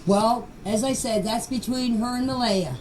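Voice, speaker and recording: High-voiced older woman, plain-spoken, noisy recording. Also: normal speed, a little mellow. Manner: plain-spoken, folksy, repeats phrases. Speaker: grandmother recounting memories. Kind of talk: informal personal recollection. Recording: clear background noise, amateur voice clip.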